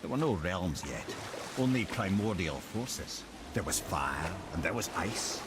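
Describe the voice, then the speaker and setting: A learned, wry old storyteller in a video game. His lowish voice rises and falls widely as he spins myths with theatrical bite. Boat and water noise runs clearly behind him. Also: very animated, a little slow. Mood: grand, narrating. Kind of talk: mythic storytelling in game dialogue.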